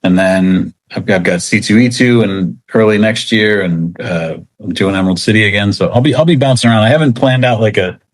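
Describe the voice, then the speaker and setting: A man chatting casually as an interview guest, talking about his work in a halting, laid-back way. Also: lowish voice. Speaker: interview guest, comic book writer.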